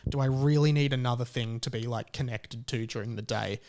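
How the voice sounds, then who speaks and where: A man giving a casual opinion monologue like a podcast host, conversational and halting, with emphatic stresses on key words. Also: medium pitch, steady tone, darkish tone.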